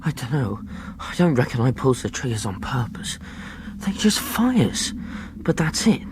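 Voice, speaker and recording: A man's voice: medium pitch, sing-song, a little slow, noisy background. A man playing an earnest seafaring lad who narrates his own adventure in dialect, in a radio drama. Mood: grave recounting. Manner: rustic dialect, earnest storytelling. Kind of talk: dramatised first-person narration.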